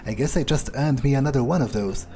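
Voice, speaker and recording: A man narrates his game playthrough in character with a dark, relaxed voice of middling pitch. He muses aloud casually and haltingly, with faint music underneath. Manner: musing, casual, hesitant. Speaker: gamer playing in character.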